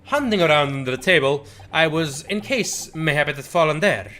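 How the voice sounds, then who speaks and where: A man acts out a pompous old curio dealer from a video game, obsessed with his treasure. His flowery, archaic speech stretches out certain words and swings widely in pitch over faint music. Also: animated, brisk, sharp tone. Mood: mournful. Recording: music behind the voice.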